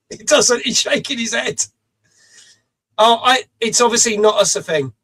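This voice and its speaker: A middle-aged man with a rough voice, hosting a live stream, chatty and reading out viewers' messages with casual swearing. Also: extremely high pitch, a little slow, fairly bright tone. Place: small home studio.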